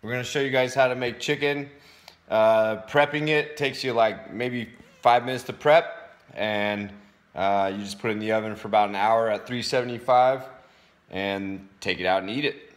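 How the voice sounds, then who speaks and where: Low-voiced man, casual and plain-spoken. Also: fairly even intonation, normal speed. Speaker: fitness vlogger. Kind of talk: casual how-to talk to camera. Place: home kitchen, indoors. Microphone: phone at arm's length.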